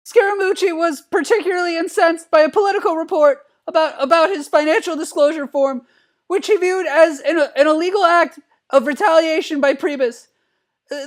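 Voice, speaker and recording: A man voicing a nervous, timid adolescent cartoon boy, stuttering through narration in a comedic dramatic reading. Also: very high-pitched. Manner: anxious stuttering, repeated word starts.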